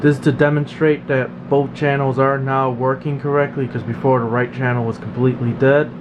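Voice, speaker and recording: A man's voice: medium pitch, a little fast. A man, a repair technician, giving a casual, plain-spoken demonstration in an informal explaining way. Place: indoor electronics workshop. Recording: slightly dull, noisy hum of room. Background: steady background noise.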